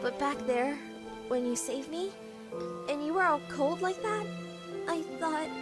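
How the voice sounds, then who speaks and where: A woman voicing a shy young woman who stammers as she confesses her love in a visual novel game. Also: high voice. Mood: earnest. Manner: halting, stammering. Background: loud game music.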